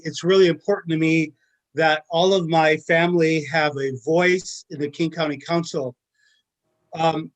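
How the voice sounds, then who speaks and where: A man speaks against a nomination at a meeting in a fairly high voice. He starts haltingly and then presses his point insistently. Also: rough recording. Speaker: meeting member. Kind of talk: short speech against.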